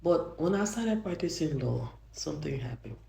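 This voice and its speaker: Soft-voiced older Liberian woman, gravelly and mellow. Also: low-pitched, expressive, moderate pace, slight background noise. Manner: earnest, frequent restarts, grows emphatic. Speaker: judge. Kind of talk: interview answers, reflective storytelling. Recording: roomy echo.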